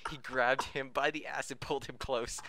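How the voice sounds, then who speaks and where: A man's voice: medium pitch, fairly even intonation, moderate pace, pushed and bright, a little hiss or hum. A man reading fan fiction aloud on a podcast, stumbling over words and correcting himself, often amused and breaking into laughter. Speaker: podcast reader.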